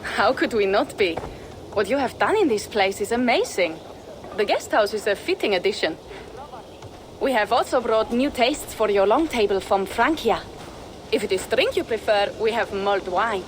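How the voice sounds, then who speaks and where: A woman's voice: mid-range voice, expressive, normal speed, a little hiss or hum, natural voice. Proud young Norse warrior woman played by an actress. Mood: welcoming. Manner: formal, old-fashioned, persuasive speech. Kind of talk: video game dramatic dialogue. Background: background music.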